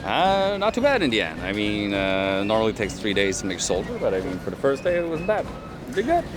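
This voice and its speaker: A man plays a reenactment captain, walking a recruit through drill with good-humoured commands. His voice swings lively up and down. Music plays clearly behind him outdoors. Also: on the low side, quick pace. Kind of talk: instructing a recruit in character. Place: outdoors at a historic site in a city.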